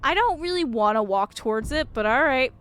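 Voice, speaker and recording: A woman's voice: sits high, animated, brisk, fairly bright tone, a little hiss or hum. A woman streamer reading a visual novel aloud and reacting expressively as she goes.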